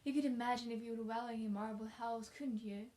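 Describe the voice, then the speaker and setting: A woman's voice: on the high side, steady tone, brisk, warm tone, lightly acted. A woman voicing a talkative, imaginative young orphan girl from a storybook, effusive and dreamy. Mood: eager, chatty.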